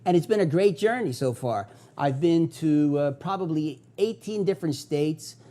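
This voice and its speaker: A man's voice: a little high, animated, normal speed, warm tone. An older man from South Philadelphia with a Philadelphia accent, hosting a local TV talk show in an enthusiastic, conversational way. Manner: enthusiastic, conversational, with filler uhs. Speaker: host.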